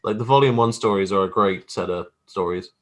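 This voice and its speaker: Low-pitched man, casual and wryly self-deprecating. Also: a little fast. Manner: self-deprecating, wry, informal. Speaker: graphic artist guest. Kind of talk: casual interview chat.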